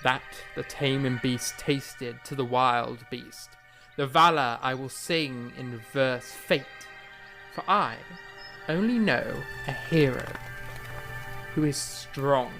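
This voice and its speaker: A woman's voice: rumbling low, takes it slowly, over music, full character voice, poor audio. Woman playing a hammy young composer. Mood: declaiming. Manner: theatrical, hammy, breaks into pirate play. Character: playful young male composer, boyish and theatrical. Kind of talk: fan audio drama acting.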